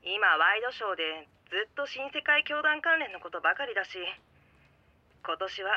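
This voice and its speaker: Through a thin telephone filter comes a gentle, caring wife in an audio drama. Her voice is very bright and sharp, with a dry sound and a supportive manner. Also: medium pitch, no room sound, highs cut off. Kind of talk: Japanese audio drama acting.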